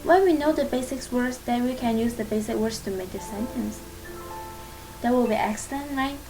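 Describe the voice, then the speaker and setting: A woman whose first language is Chinese, speaking English with a Chinese accent, giving a casual spoken lesson as a channel host, halting and searching for words with many fillers but encouraging and reassuring. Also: fairly high pitch, music in the background, rough recording.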